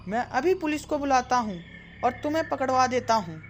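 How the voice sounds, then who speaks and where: A woman's voice: sits high, lively intonation, fairly quick, a little hiss or hum. A woman voicing a brave, curious village boy in a children's cartoon, earnest and kind. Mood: curious, suspicious. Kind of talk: children's cartoon story acting.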